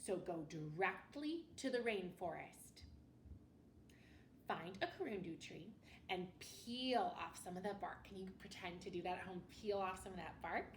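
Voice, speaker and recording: A woman teacher reading a picture book aloud to children in an expressive way, often pausing to ask her listeners questions. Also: lowish voice. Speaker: elementary school teacher. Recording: roomy, distant mic with faint noise.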